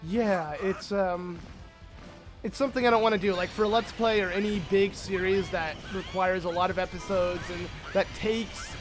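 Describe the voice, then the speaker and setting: A man who is sick, with a slightly hoarse voice, giving excitable, rambling gameplay commentary full of fillers as a let's play host. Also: sits high, moderate pace, over music.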